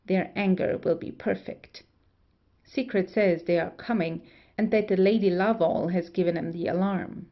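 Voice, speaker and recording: A woman playing a wry, mischievous gentlewoman in an old comedy, with a creaky voice and teasing manner. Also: lowish voice, steady tone, normal speed, muffled recording, close to an everyday voice. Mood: gleeful scheming. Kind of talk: Restoration comedy audio play acting.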